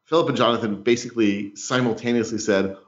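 A man's voice: mid-range voice, fairly even intonation, fast talker, lo-fi sound. A man co-hosting a podcast, telling stories in a thoughtful, self-deprecating way. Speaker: podcast co-host. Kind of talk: casual podcast chat.

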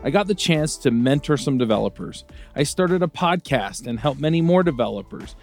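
Lively man reading a promo over music. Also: normal speed, gravelly. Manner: upbeat pitch, lively delivery. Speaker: advertiser. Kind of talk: scripted ad read. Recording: light music underneath.

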